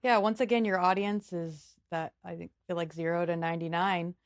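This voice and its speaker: Woman, podcast host, upbeat, affirming, low-ish, gravelly fry. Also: steady tone, brisk, dry recording, studio quality. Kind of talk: interview conversation.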